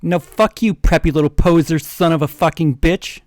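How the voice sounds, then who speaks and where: A man acting out angry story characters from fan fiction in an exaggerated, loud, mock-dramatic way. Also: on the high side, normal speed, no room sound, studio quality, natural voice. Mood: angry, shouting.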